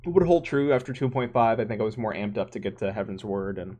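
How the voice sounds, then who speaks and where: A man's voice: lowish voice, steady tone, quick pace, mellow, lo-fi sound. A man co-hosting a casual gaming podcast, who talks in stop-start bursts, restarts his sentences and laughs often. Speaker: podcast co-host.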